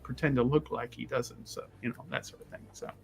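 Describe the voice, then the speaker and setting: A man improvising his character's story at a tabletop roleplaying game, wordy and halting, often repeating himself. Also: mid-range voice, fairly even intonation, gravelly, slightly rough.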